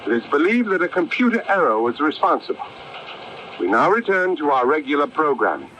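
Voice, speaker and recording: A man's voice: fairly high pitch, big pitch swings, brisk, sharp tone. A man playing an urgent radio newsreader, formal and emphatic, in a radio drama. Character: urgent male radio newsreader giving a bulletin. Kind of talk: radio news bulletin within a drama. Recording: dull, faintly noisy AM radio recording.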